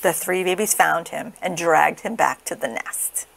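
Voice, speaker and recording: A woman reading a children's story aloud with expressive, dramatic, deliberate phrasing. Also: lowish voice, vocal fry, slight background noise, low-quality recording. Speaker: storybook reader.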